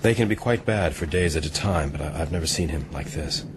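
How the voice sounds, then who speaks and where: An actor in a radio drama playing an earnest, courteous ship's mate, measured and purposeful. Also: male voice, extremely low pitch. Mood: concerned, hesitant. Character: earnest, resolute ship's mate, educated man plotting escape. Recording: audio drama with clear ambient noise.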